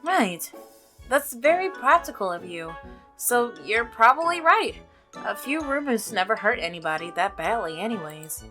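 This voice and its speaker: Woman reading a curious, bright-voiced lady neighbor. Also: on the high side, big pitch swings, normal speed, soft background music. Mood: polite, curious. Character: curious, polite young woman neighbor narrating her story. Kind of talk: visual novel narration and dialogue.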